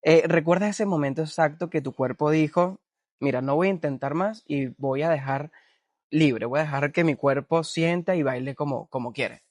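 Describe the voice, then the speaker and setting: A man's voice: on the high side, normal speed, dry recording, studio quality. A man hosting an interview, enthusiastic and full of restarts. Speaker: interviewer, host. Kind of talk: interview conversation.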